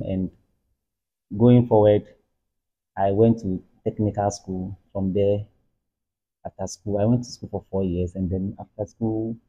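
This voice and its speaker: A man in his thirties with a Ghanaian accent, answering interview questions casually and haltingly, repeating words. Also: lowish voice, husky. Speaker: carpenter, interview guest. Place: furnished room, seated in armchairs. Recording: thin, muffled phone-like sound.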